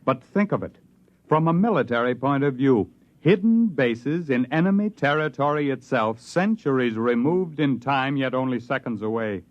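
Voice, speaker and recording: A man acts a stubborn, aging retired government man with a mid-pitched voice. He speaks with dramatic emphasis, like a true believer in his lost project. It sounds like an old radio play, dulled by the vintage recording. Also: lively intonation, moderate pace, lo-fi sound, lightly acted. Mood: weary, insistent.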